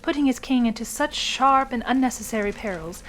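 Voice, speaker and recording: A woman's voice: a little high, a little fast, slight background noise, rough recording. A woman podcast host who narrates a short story.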